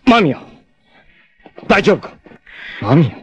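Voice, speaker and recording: An actor playing a proud, fierce, devoted martial-arts warrior facing death, with solemn, dramatic delivery in 1980s anime style. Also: male voice, on the high side, sing-song, breathy edge, heavily acted. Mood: troubled, urgent. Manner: solemn, dramatic, deliberate delivery with intense outbursts.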